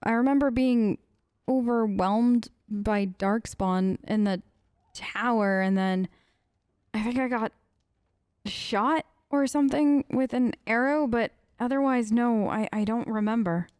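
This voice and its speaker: A woman improvises a game character's replies for a let's play in a conversational, hesitant way. Her voice is fairly high, and she feels her way haltingly through each line. The sound is close and dry, with no room to it. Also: a little mellow, clean tone, dry recording. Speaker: gaming let's player.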